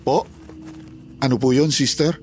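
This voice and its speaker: A man's voice: medium pitch, steady tone, a little slow, a little mellow, slight background noise, natural voice. A man playing a friendly, respectful orphanage night guard in a dramatized horror story, with a polite, hesitant manner. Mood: respectful, flustered.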